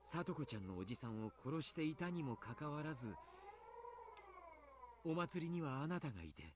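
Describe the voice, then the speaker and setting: A man playing a grave, polite doctor and coach in a Japanese visual novel, speaking deliberately. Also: fairly high pitch, very animated, background noise. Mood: puzzled, serious.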